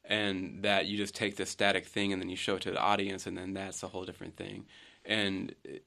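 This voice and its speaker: A man's voice: low-pitched, monotone, fairly quick, creaky, sharp tone. A filmmaker guest in a casual interview, reflective, often stumbling and restarting his sentences.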